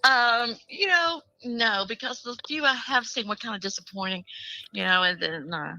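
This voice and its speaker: A woman's voice: fairly high pitch, normal speed, sharp tone, a little hiss or hum, low-quality recording. A woman with a New York accent calling in to a talk show, chatty and playful as she asks her question. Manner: chatty and playful, laughing often. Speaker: caller. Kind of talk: call-in question to a guest.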